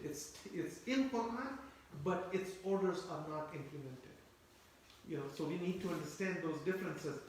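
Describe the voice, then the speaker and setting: Echoey, distant lecturing man with a high voice. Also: a little hiss or hum. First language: Turkish. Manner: explanatory, repeats phrases, asks listeners rhetorical questions. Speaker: Quran teacher. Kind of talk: tafsir lecture. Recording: very echoey room, voice from far off.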